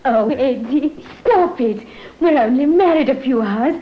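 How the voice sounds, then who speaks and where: Young bride, high voice, coy, bashful, vintage radio play, muffled recording. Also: female voice, lively intonation, unhurried. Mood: coy, happy. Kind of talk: old-time radio drama acting.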